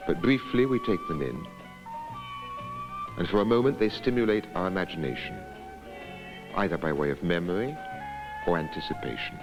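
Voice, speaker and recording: A man giving scripted documentary narration in a measured, deliberate, reflective way. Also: low voice, huge pitch swings, over music, low-quality recording.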